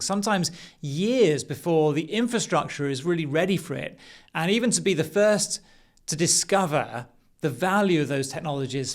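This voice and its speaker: A young adult man hosting a podcast in an upbeat way, his voice sitting a little high for a man. He asks quick, friendly questions over a video call. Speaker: podcast host. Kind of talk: interview and scripted intro.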